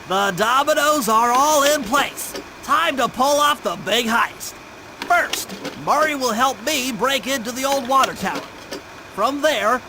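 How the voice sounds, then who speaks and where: A man voicing a nerdy, brainy mission planner in a video game, briefing in a precise, pedantic way. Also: very high-pitched. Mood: proud, excited. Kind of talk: video game cutscene acting. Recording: background music.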